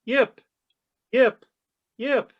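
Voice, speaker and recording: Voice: medium pitch, fairly even intonation, extremely slow, muffled recording, pitched above the performer's own voice. A man voicing a small female fox with short yipping calls in a storybook. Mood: hopeful calling.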